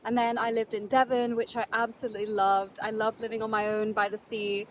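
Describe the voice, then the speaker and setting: A woman's voice: fairly high pitch, little pitch movement, a little fast, poor audio. A young woman with a British accent, talking casually to the camera on a windy beach in a rambling, chatty way. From Netherlands. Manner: chatty, rambling, with fillers and restarts. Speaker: travel vlogger.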